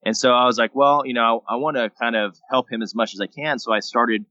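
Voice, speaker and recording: Bright-voiced man in his thirties from Texas. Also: mid-range voice, a little fast, no echo. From San Antonio, Texas, USA. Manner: rambling storytelling, relaxed and friendly. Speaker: guest, daily vlogger and entrepreneur. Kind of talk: casual podcast interview. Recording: dull lo-fi dry sound.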